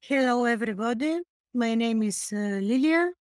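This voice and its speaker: A middle-aged woman with a Bulgarian accent, speaking in halting, deliberate phrases as a guest on a video call. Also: a little high, smooth, no echo, studio quality. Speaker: interview guest, activist. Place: indoor room, video call.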